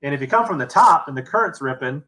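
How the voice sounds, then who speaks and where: A man's voice: a little high, animated, quick pace, bright, forceful tone. A man hosting a fishing show, asking questions and thinking aloud in a casual conversational way. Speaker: podcast host. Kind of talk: casual interview talk. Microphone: webcam on a video call.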